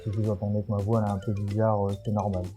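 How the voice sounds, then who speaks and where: A man's voice: on the low side, monotone, racing. A man with a cold, chatting hesitantly through a Minecraft video with lots of fillers. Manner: hesitant, many fillers and restarts. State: stuffy with a cold. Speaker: gaming YouTuber. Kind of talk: casual Minecraft commentary. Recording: music playing behind.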